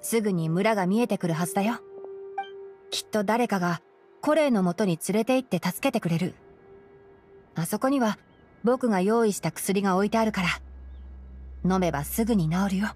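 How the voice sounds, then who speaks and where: A woman playing a composed, knowledgeable young fox-eared male forest ranger in a Japanese game, explaining things in a measured way. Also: mid-range voice, a little mellow, close to an everyday voice. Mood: calm, kindly. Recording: game music behind the voice. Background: background game music.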